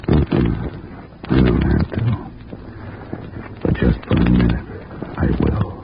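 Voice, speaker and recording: An actor playing a wisecracking tough insurance investigator who narrates a 1950s radio drama in a dry, sardonic, clipped way. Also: male voice, a bass voice, fairly even intonation, slow pace, gravelly, very noisy, heavily acted. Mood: hard. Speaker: narrator and lead detective. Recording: lo-fi 1950 radio broadcast with loud music.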